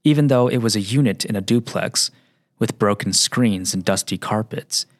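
A man hosting a radio show, narrating in a slow, measured, reflective way; his first language is Mandarin. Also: fairly low pitch, no room sound.

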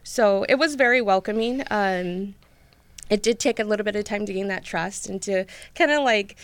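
A woman's voice: medium pitch, moderate pace, smooth, no echo. A woman speaking as a podcast guest in casual conversation, chatty, with many fillers and restarts.

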